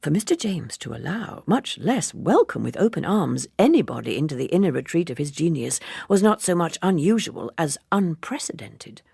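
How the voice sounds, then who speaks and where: A woman reading literary narration as an audiobook narrator, in a measured, precise and formal way. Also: low voice, big pitch swings, creaky, a little mellow, slightly rough, no echo, clean studio sound.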